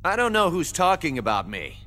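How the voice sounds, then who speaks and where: A man's voice: on the high side, huge pitch swings, brisk, bright, forceful tone, soft background music, full character voice. A man voicing a brash, vengeful young assassin in a video game, cocky, sardonic and foul-mouthed. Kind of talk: video game cutscene acting.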